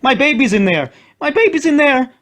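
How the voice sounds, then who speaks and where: A man's voice: wildly swooping pitch, fast talker, breathy edge, exaggerated voice. A man voicing a frantic, terrified mother who shrieks and sobs as she scolds and screams for her baby. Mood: screaming. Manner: shrill, screaming, sobbing.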